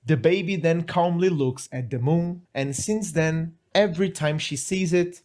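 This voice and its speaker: A man's voice: fairly high pitch, measured pace, a little mellow. A man with a Brazilian accent narrating a scripted review with enthusiasm and playful, mock-dramatic asides. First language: Portuguese. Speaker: manga review host. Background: faint background music.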